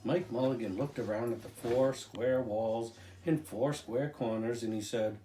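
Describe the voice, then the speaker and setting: Older man, dramatic picture-book storyteller; soft-edged mellow tone, roomy echo, music behind. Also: medium pitch, unhurried. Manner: expressive, dramatic, with occasional stumbles. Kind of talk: animated children's picture book reading.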